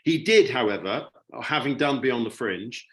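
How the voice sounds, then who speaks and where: Male guest speaker, mid-pitched, informal and reminiscing, with hesitant, halting delivery. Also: animated. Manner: hesitant, halting with repeated words. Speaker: guest speaker, writer and television producer. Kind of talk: informal talk, personal reminiscence.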